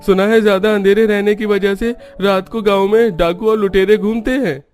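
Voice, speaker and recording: A man's voice: high voice, fairly even intonation, normal speed, darkish tone, faint music behind, voice pushed up, close to an everyday voice. A man voicing an alarmed village neighbor in a cartoon story. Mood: alarmed warning.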